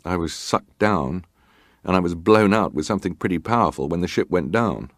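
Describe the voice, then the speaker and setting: A man's voice: low-pitched, normal speed, fairly bright tone, dry recording, clean studio sound. An actor playing a stoic ship's officer giving testimony at a formal hearing, clipped and matter-of-fact in his answers. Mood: grave recounting. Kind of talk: dramatized reading of hearing testimony.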